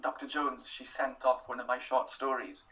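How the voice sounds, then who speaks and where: A man's voice: mid-range voice, little pitch movement, normal speed, sharp tone. Man, Welsh accent, young adult, thoughtful interview guest, lots of fillers, slightly distant, muffled sound. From Wales. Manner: thoughtful, self-deprecating, many fillers and restarts. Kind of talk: casual interview.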